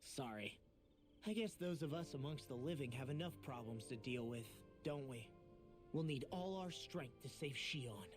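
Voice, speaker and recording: A man's voice: mid-range voice, lively intonation, moderate pace, natural voice. An actor playing an earnest, self-doubting young male fighter in a video game, speaking in a casual, sincere way. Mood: pensive. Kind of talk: video game English voice acting. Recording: music behind the voice. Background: game music.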